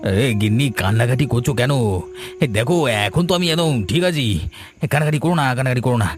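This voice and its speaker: A man's voice: mid-range voice, fast, lightly acted. A man voicing a greedy village merchant and doting husband in a cartoon, exclamatory and repeating his words. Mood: soothing. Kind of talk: cartoon voice acting. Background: prominent background music.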